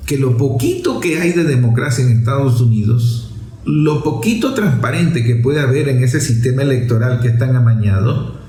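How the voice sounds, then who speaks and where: A man's voice: medium pitch, warm tone. A man giving opinionated, off-the-cuff political commentary, emphatic, repeating and stretching key words to press his point. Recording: roomy, echoing voice recording.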